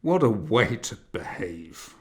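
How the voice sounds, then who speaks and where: A man's voice: lowish voice, big pitch swings, takes it slowly. A man reading a novel aloud as an indignant, reproachful middle-aged father grumbling at his son.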